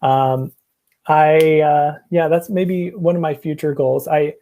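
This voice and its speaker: A man's voice: fairly high pitch, steady tone, takes it slowly, dark tone. A young American man presenting over a video call from a small room at home, rambling with many ums and restarts. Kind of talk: casual video call chat. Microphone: earbuds on a video call.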